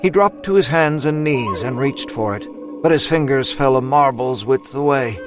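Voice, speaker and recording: A man narrates a scripted horror story in a mid-range voice. Clear music plays behind the narration. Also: mid-range voice, lively intonation, normal speed.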